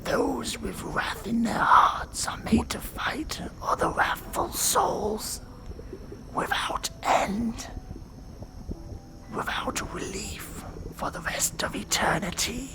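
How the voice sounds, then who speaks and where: A performer whispering as a dry, weary demon judge of hell who instructs a trainee in a measured, deadpan horror ASMR role-play. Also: mid-range voice, heavily acted. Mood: solemn, dry. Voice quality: breathy creaky whisper. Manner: slow, measured, deliberate, deadpan. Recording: music behind close whispered voice. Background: music.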